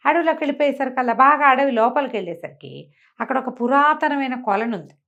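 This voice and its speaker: A woman telling a folk tale in an animated, conversational way, acting out the characters' exclamations. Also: high voice, quick pace, lo-fi sound. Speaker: storyteller. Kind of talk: animated oral folk storytelling to a listener.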